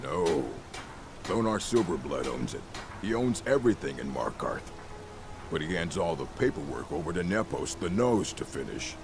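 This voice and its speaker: A surly fantasy-game overseer with a very low, gravelly voice. He talks bluntly and grumbles, his delivery swinging expressively, with music clearly playing behind him. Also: sing-song, normal speed. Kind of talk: video game character dialogue.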